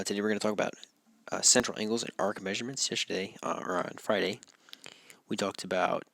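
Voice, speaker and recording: Gravelly-voiced male math teacher, losing his voice. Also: fairly low pitch. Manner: relaxed, repeats points and checks with "right?". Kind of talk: recorded classroom walkthrough.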